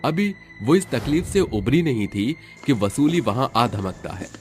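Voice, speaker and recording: A man's voice: mid-range voice, big pitch swings, measured pace. A man reading scripted, dramatic storytelling narration. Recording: music under the voice.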